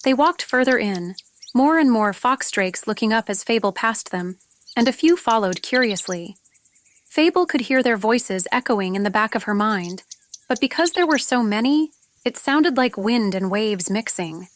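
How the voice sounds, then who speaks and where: A woman's voice: on the high side, animated, normal speed. A woman narrating a fantasy story from a script, as an audiobook reader. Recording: noticeable background noise with clicks, insect-like sounds.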